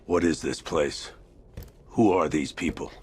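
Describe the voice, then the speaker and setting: An older police commissioner in a video game. He is gruff and weary, with a very deep, incredulous voice. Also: a little slow, a little hiss or hum. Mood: alarmed. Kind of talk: video game cutscene acting.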